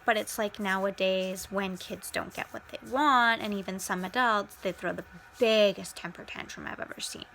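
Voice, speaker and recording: A woman's voice: medium pitch, steady tone, moderate pace, vocal fry, hardly any pauses, bright, forceful tone, a little hiss or hum. A young Canadian woman rambling casually as she answers viewer questions, swearing freely and trailing off into whispers. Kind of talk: casual chat.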